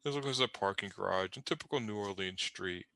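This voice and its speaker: A man's voice: on the low side, brisk, creaky, bright, forceful tone, clean tone, no echo. A man narrating comic panels off the cuff, with wry, rambling, conversational asides. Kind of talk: improvised humorous scene description.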